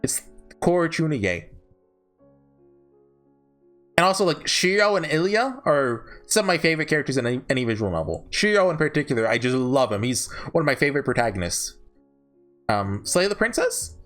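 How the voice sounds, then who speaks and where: A man's voice: fairly high pitch, big pitch swings, normal speed, long pauses, fairly bright tone. A man giving casual, off-the-cuff ranking commentary, rambling and often backtracking mid-sentence, with emphatic, enthusiastic fan opinions. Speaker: host ranking visual novels. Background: background music.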